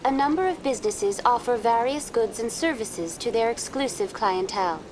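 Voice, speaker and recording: A woman playing a polite, unflappable virtual tour guide program in a video game, speaking in a formal, measured, impersonal way. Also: on the high side, background noise. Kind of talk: scripted informational narration in a video game.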